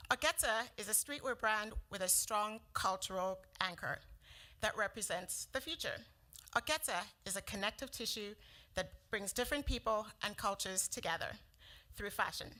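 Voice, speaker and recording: Earnest woman founder giving a pitch. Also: a bit bright. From South Africa. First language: Sepedi. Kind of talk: prepared pitch presentation.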